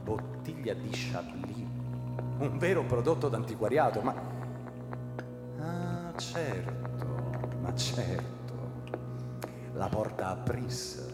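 An actor playing a meek, eager outcast in a radio drama, halting and repeating words, telling his own tale. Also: fairly low pitch, sing-song. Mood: wondering, hesitant. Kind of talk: theatrical radio drama acting. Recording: echoey hall under loud music.

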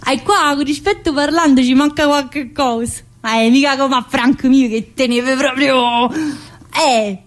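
A woman's voice: high-pitched, measured pace. An actress playing a talkative, earthy woman inmate in a theatrical monologue, rambling colloquially with a central-southern Italian accent. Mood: cheeky, wistful. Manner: colloquial, rambling, full of interjections.

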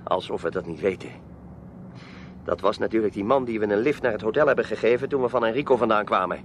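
An actor playing a brisk, impatient newspaperman who asks commanding, probing questions in a radio drama. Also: lowish voice, slight background noise, lightly acted. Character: brisk, impatient male newspaperman investigating a mystery.

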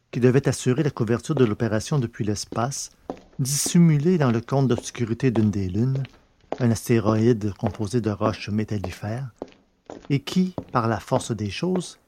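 A man's voice: mid-range voice, darkish tone, a little hiss or hum. A man narrating a scripted science fiction adventure story in a literary, storytelling style.

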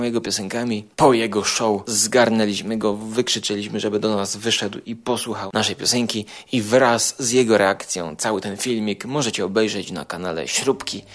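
A man with a Polish accent hosting an informal podcast roundup, talking colloquially and repeating phrases for emphasis in a mock-aggrieved way. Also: fairly low pitch. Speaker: podcast and video show host. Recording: music behind the voice. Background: music.

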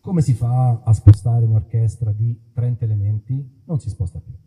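A man's voice: medium pitch, fairly even intonation, normal speed, warm tone. A man hosting a live outdoor show in an olive grove, talking to the crowd with wry, mock-solemn stage patter. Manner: wry, mock-solemn, playfully self-interrupting. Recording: husky voice over a tinny, phone-like line.

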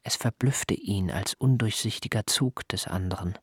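A man narrating a literary radio play in a measured, precise manner. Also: on the low side, slightly rough, dry recording, studio quality.

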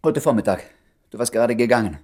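A man's voice: medium pitch, lively intonation, fast, a little mellow, close to an everyday voice. An actor playing a nosy, flustered male doctor who probes and pretends not to care, in a German radio play. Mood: awkward, needling. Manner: probing, hesitant, falsely casual.

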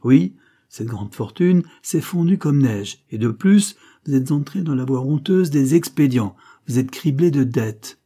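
A man's voice: medium pitch, animated, a little slow, pitched above the performer's own voice. A man voicing a stern older aristocrat who scornfully accuses his nephew. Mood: stern accusing. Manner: forceful, indignant reproach.

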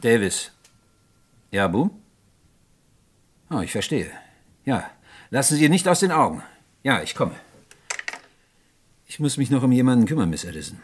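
An actor playing a composed, authoritative police inspector in a radio crime drama, matter-of-fact and commanding. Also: male voice, medium pitch, very animated, moderate pace, pauses often, husky. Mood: stern, controlled. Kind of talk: 1950s-80s German radio crime drama acting.

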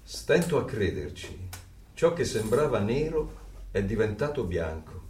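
A man's voice: on the low side, sing-song, a little slow, a little mellow, slight background noise. A man acting an earnest, reflective dissident voicing secret thoughts in a radio drama. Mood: amazed, uneasy. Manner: reflective, intense. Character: earnest, brooding male dissident narrating his secret thoughts. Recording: echoey, distant over music.

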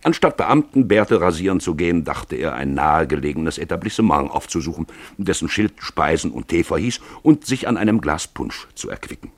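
A man's voice: low-pitched, very animated, fairly quick, no room sound. A man narrating a literary tale for a radio play in a dramatic storytelling style.

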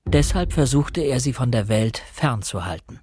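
An actor playing a reflective young man, narrating a radio crime drama in a measured, earnest way. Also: medium pitch, lively intonation, a little mellow, slightly rough, no room sound. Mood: rueful. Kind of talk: scripted first-person radio drama narration.

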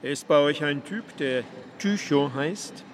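An actor playing a menacing, controlled gang boss who speaks deliberately and threatens coldly in a German radio crime drama. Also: male voice, on the high side, a little hiss or hum.

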